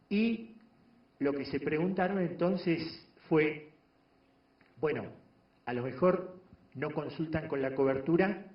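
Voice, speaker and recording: A man giving a measured lecture on meditation to an audience, pausing often and restarting phrases. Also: a little high. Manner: measured, explanatory, frequent pauses and restarts. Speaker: doctor giving a talk on meditation. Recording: echoey room, dull lo-fi sound.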